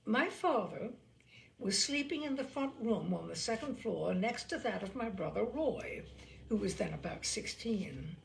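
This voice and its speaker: A woman reads a literary story aloud with care. Her pitch moves widely and expressively, and a noticeable creak colors the voice. Phrases are measured and deliberately placed. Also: animated, slight background noise, poor audio. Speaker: storyteller reading short stories aloud.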